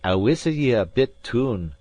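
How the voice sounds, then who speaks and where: Give me a man playing a fiery Scottish Highlander, gruff and blustering. His voice is pushed up well above a low natural register and swings widely. It is loud and carries a strained, altered tone. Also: lively intonation, moderate pace, dry recording, lightly acted. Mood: earnest. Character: fierce proud Scottish Highland gentleman.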